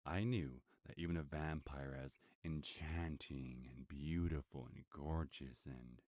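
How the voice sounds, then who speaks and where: Very deep, smug werewolf captor played by a man. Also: a little slow, a little mellow, dry recording, studio quality. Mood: seductive gloating. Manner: taunting, possessive, smooth-talking. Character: smug, predatory male werewolf captor in human form, gloating seductively. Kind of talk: ASMR roleplay monologue.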